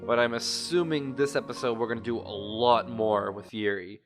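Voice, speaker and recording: A man's voice: medium pitch, fairly even intonation, moderate pace. A man giving casual let's play commentary, rambling and often rephrasing as he loses his thread. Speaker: gaming video host. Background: music.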